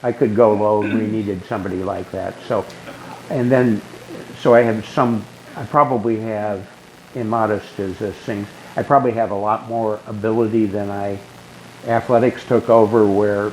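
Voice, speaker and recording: An older man with a low, growly bass voice, talking casually in a group and rambling through stories with many restarts. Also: steady tone, normal speed, low-quality recording. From Southern California. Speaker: guest being asked questions.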